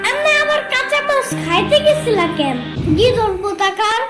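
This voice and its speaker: Cartoon little boy, cheeky, sassy, stubborn, very high voice, loud music. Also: expressive. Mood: defiant. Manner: sassy, scolding, quick retorts.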